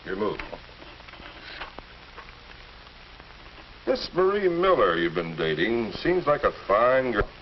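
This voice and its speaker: A middle-aged American man playing a calm, understanding father, measured and reassuring, in a 1950s educational film. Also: fairly low pitch. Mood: friendly, probing. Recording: dull, noisy old film soundtrack.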